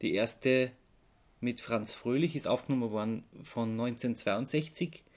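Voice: medium pitch, moderate pace, darkish tone. A podcast co-host, explaining things informally in casual conversation.

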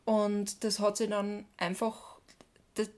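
A woman's voice: medium pitch, level tone, takes it slowly, darkish tone. A woman with an Austrian accent co-hosting a podcast, chatting informally with playful interjections. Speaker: podcast co-host. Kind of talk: casual podcast conversation.

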